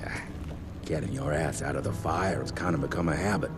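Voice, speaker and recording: An actor playing a gruff, world-weary vampire hunter in a dramatic game, blunt and folksy. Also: male voice, rumbling low, brisk, noisy background. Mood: weary, resolute. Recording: music under the voice.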